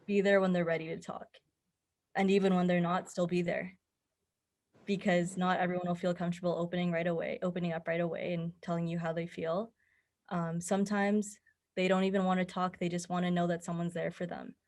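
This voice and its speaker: A young woman with a slightly low voice that moves little in pitch. She talks conversationally, like a guest answering interview questions, offering advice in an earnest and encouraging manner. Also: level tone, brisk, darkish tone. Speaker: podcast guest, mental health advocate.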